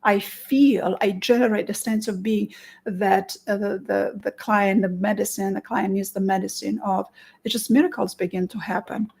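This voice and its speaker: A woman from Romania with a Romanian accent, a therapist giving an earnest promotional talk, with many restarts and stretched pauses. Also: mid-range voice, steady tone, gravelly, a little mellow, breathy edge. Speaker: therapist and conference presenter.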